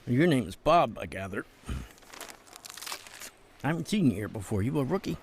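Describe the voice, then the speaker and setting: An older American man, a jailed politician in a game drama. His pitch moves in lively swings. He speaks with wry, fatherly persuasion, coaxing like a practiced schemer. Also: normal speed, slight background noise. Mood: sardonic. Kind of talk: dramatic game cutscene acting.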